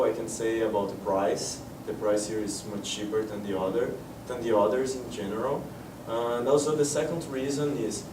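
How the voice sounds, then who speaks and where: A man's voice: mid-range voice, recorded from a distance. A man from Brazil, a language student, talking hesitantly and restarting often. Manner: hesitant, many fillers and restarts. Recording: echoey, off-mic, noisy room.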